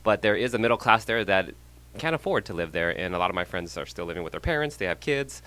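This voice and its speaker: Man from san jose, bright forceful tone, lowish voice, relaxed podcast guest. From San Jose, California. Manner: friendly, measured, with frequent ums and small laughs. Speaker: guest, real estate agent and investor. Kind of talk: casual podcast interview.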